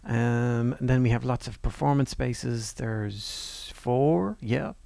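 A male lecturer talks through his slides in an easy, conversational way, pausing on fillers and restarting sentences. His voice is heavily gravelly with a lot of fry. It was recorded very dry and close, with no room sound. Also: mid-range voice, mellow, no room sound. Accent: non-Northern Irish. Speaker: university course lecturer. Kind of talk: presenting over slides.

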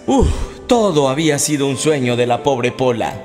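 A man's voice: medium pitch, expressive, moderate pace, darkish tone, in a large hall. A man giving melodramatic scripted narration as the narrator of a comic radio soap. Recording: music behind the voice.

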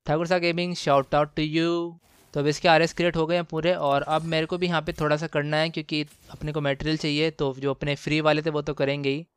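Man, gaming channel host, smooth clear voice, dry close-mic sound, slangy exclamatory commentary, repeats himself. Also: a little high, level tone, a little fast, no echo. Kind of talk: casual excited gameplay commentary.

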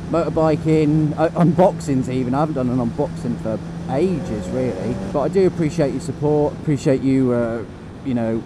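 A man vlogging casually while riding a motorcycle on country roads, rambling and chuckling to himself. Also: medium pitch. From England. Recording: wind and engine noise from riding.

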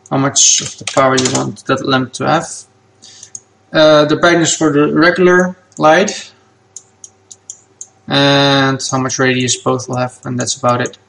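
A man whose first language is Dutch, talking through a software tutorial at his computer in a relaxed, plain step-by-step way. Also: fairly high pitch, creaky. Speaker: game asset creator.

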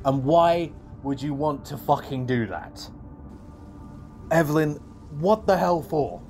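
A man's voice: fairly high pitch, lively intonation, slow pace, darkish tone, faint music behind. A man playing a blunt, coarse and agitated expedition member in an audio drama. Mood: bitter, blunt. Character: gruff, angry male expedition man, blunt and suspicious.

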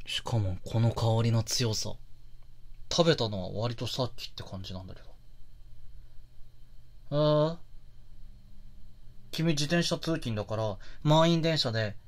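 An affectionate, puppyish young boyfriend, acted by a man in an intimate ASMR audio drama. He takes his time, speaking softly in a mid-range voice straight to his partner. He sounds sweet and easily flustered. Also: medium pitch. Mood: suspicious, pouty. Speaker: net voice actor of boyfriend roleplay audio. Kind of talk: Japanese situation-voice ASMR drama.

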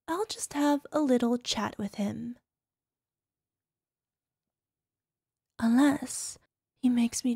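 A very high, girlish voice of a woman acting as a young yandere wife in an ASMR roleplay. She coos and coaxes sweetly, doting yet possessive, with a controlling menace underneath. The recording is dry, with no room sound. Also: gravelly, husky, dry recording, heavily acted. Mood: sweetly menacing. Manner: cooing, coaxing, sugary with controlling edge. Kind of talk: ASMR roleplay, speaking directly to listener.

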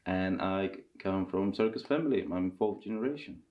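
A man in his thirties with a Russian accent, talking casually in halting English during a seated interview in a costume workshop. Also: low voice, highs cut off. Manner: halting non-native English with restarts. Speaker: circus performer and tent master.

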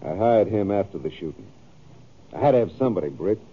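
An American actor playing a stubborn, self-justifying cattle rancher with folksy, rough grammar in a radio western. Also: male voice, deep voice, animated, quick pace. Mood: insistent. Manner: folksy, ungrammatical. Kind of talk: 1950s radio western acting. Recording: thin, phone-like old radio recording.

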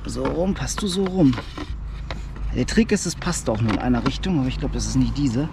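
A man's voice: medium pitch, fairly quick, vocal fry. A man in his thirties, chatting casually to a bike-mounted camera while riding a riverside path outdoors. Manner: chatty, upbeat, thinking aloud. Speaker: cycling travel vlogger. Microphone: action camera mounted on the bike. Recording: loud wind and road noise.

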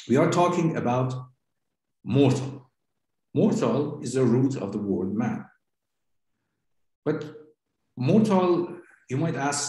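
A man with a Persian accent, a native Farsi speaker, giving a philosophy seminar lecture in a deliberate, halting way with frequent pauses. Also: medium pitch, dark tone. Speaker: seminar instructor. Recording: very echoey room.

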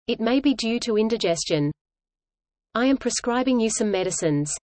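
A woman reading an English lesson dialogue aloud in a measured, even way, repeating each line for learners. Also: a little high, no room sound.

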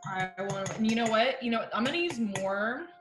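A woman's voice: a little high, expressive, normal speed. A woman in her thirties chatting casually while she cooks at home, talking on a video call with plenty of fillers and asides. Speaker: composer and guest. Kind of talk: casual chat while cooking. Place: home kitchen, indoors. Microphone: video call laptop or webcam. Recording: echoey kitchen, faint music.

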